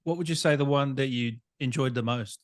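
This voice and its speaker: A man's voice: mid-range voice, a little fast. A man hosting a casual interview, asking curious questions in an informal, conversational way. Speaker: interviewer, host.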